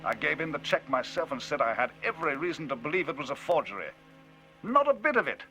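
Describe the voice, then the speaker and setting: Brief: a man voicing a worked-up gentleman narrator, lively swoops, forceful, husky. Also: on the high side, quick pace, soft background music, voice pushed up, full character voice. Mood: animated. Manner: emphatic, rising, animated storytelling.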